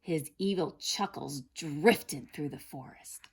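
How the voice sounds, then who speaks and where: A woman narrating a children's story in a dramatic, deliberate, expressive way. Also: deep voice, slightly rough. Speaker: storybook reader.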